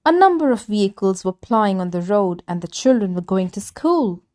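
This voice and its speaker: A woman narrating a children's story as a read-aloud, with deliberate, simply phrased delivery. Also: mid-range voice, expressive, a little mellow.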